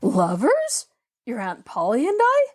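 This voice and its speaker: Brief: woman reading a gruff, lonely middle-aged man, hesitant, voice sits fairly high. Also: wildly swooping pitch, a little slow, exaggerated voice.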